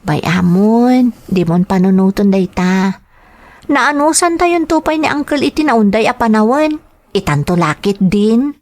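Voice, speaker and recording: A woman playing a dutiful, long-suffering young elder sister in an audio drama, earnest and gentle, with a Filipino accent. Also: medium pitch, lively intonation, unhurried, dry recording, lightly acted. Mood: gentle, caring. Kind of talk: Ilocano audio drama acting.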